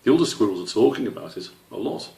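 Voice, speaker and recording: Guy doing a young badger in a kids story, matter of fact, bit dismissive, croaky, echoey room. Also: on the low side, lively intonation, fast talker, dark tone, recorded from a distance, voice pushed up. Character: matter-of-fact young male badger who digs about.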